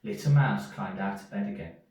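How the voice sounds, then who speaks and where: A man reading a children's story aloud in an expressive storyteller's manner. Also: fairly low pitch, fairly quick. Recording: echoey room, distant from mic.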